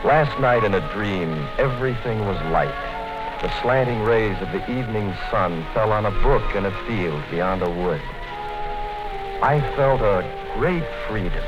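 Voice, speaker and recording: Scared brooding diary writer, lowish voice, muffled old radio with music. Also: male voice, expressive, a little slow. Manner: tense, brooding inner monologue. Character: fearful, secretive male office worker keeping a diary. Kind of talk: 1950s radio drama narration. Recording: dull-sounding 1950s radio broadcast with music.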